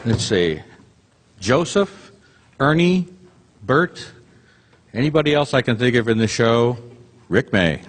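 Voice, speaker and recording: A man's voice: medium pitch, sing-song, slow pace, long pauses, a faint crowd. A man introducing the cast on a theater stage before a live audience, chatty and joking. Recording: long hall reverb, loud audience and noise. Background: audience noise and laughter.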